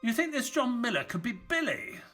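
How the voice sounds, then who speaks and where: A man's voice: very high-pitched, sing-song, normal speed, pushed and bright, faint music behind, exaggerated voice. An actor playing an earnest, curious doctor who narrates the story and questions his companion.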